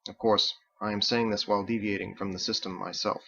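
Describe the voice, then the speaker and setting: Man reading as a stern, formal woman executioner, low. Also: flat delivery, gravelly, bright, forceful tone, highs cut off, full character voice. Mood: cold, composed. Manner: formal, measured, clipped without contractions. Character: stern formal female executioner, former lawyer, coldly logical.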